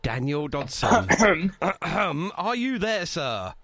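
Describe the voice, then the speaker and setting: A man's voice: a little high, very animated, extremely slow, over a noisy crowd. A man hosting a live radio show in a casual, jokey, rambling way.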